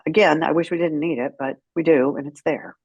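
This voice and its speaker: A woman's voice: deep voice, fairly even intonation, brisk, a little mellow, breathy edge, dry recording, muffled recording. A middle-aged woman speaking as an interview guest, telling stories in a frank, wry, conversational way. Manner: frank, wry, acts out remembered dialogue. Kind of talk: candid conversational storytelling.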